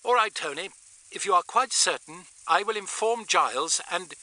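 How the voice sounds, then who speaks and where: Man voicing a cold commanding young woman, raised pitch. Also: expressive, moderate pace, pushed and bright, dry recording, exaggerated voice, poor audio. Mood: firm, urgent. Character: cold, authoritative woman of thirty, impatient radio controller. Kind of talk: audiobook character voice.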